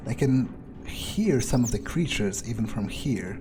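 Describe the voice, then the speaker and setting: A man narrating a video game playthrough in character, thinking aloud in a musing, halting way. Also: medium pitch, expressive, noisy background.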